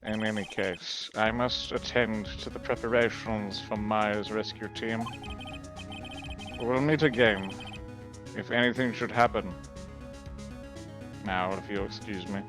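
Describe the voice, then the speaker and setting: A man voicing a formal male official leading a police search in a live fan dub of a game, measured and polite. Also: lowish voice, fairly even intonation, music in the background, lightly acted.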